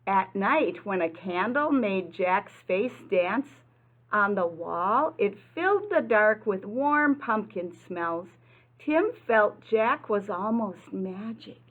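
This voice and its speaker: A woman's voice: mid-range voice, phone-quality sound. A woman preschool teacher presenting a lesson and reading aloud to young children, explaining simply and prompting them with questions. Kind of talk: children's lesson and picture-book read-aloud.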